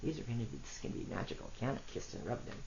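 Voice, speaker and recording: A woman with a congested, rough voice gives a chatty tarot reading, talking to her cards and her viewers. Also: a bass voice, big pitch swings, rapid-fire, darkish tone. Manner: chatty, self-deprecating, talks to cards and viewers. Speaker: tarot reader. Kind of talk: casual solo tarot reading. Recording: dull lo-fi audio with faint noise.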